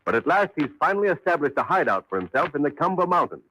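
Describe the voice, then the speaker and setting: A man's voice: a little high, big pitch swings, quick pace, sharp tone, slight background noise, low-quality recording. A man with an American accent delivering dramatic scripted narration as an old-time radio announcer.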